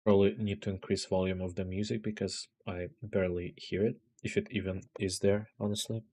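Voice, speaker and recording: A man's voice: sits low, level tone, fairly quick, darkish tone. A man chatting casually while streaming a game, groggy and hesitant, with a mumbly delivery. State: just waking up, groggy. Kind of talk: casual gameplay chat.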